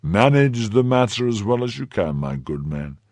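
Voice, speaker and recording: A man's voice: lowish voice, sing-song, moderate pace, darkish tone, no room sound, studio quality, pitched above the performer's own voice. A man voicing a weary, hard-drinking nobleman musketeer who speaks in a languid, dismissive way.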